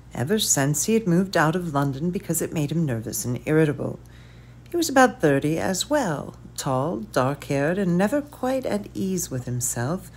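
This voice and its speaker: A woman reading a novel aloud as narrator, measured and dropping softly at the ends of phrases. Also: on the low side. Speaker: audiobook reader. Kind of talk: scripted narration.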